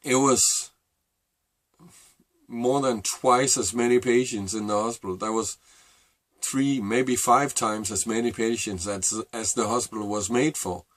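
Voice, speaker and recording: A middle-aged man with a Danish accent, a native Danish speaker, telling a personal story to camera in a halting, reflective way. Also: medium pitch, fairly even intonation, no room sound. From Denmark. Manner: halting and reflective, with many fillers and restarts. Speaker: vlogger.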